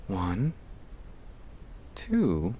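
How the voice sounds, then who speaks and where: Man, gentle ASMR guided breathing, soothing, half-whispered, unhurried long pauses, faint rumbly noise. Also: huge pitch swings. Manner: soft-spoken, soothing, often dropping into whispers. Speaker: ASMR creator guiding breathing exercises.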